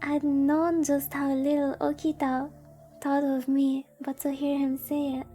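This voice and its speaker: Casting: visual-novel heroine read by a woman, naive and earnest first-person narrator, high soft-edged tone over faint music. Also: flat delivery, unhurried. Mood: shaken, wondering. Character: naive young heroine narrating her own shaken story.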